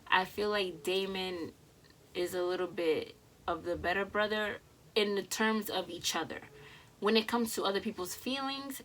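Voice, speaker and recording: A woman's voice: mid-range voice, fairly bright tone, slight background noise, low-quality recording. A young woman with a Dominican accent, chatting casually to her viewers as a reaction host, rambling with fillers and restarts.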